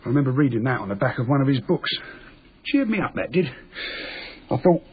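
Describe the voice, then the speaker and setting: A man's voice: medium pitch, big pitch swings, brisk, lightly acted. A man acting in a radio drama as an earnest, simple working man who explains things in a rambling, naive, matter-of-fact way. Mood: matter-of-fact musing. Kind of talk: naturalistic radio drama dialogue. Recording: tinny lo-fi radio broadcast, noisy.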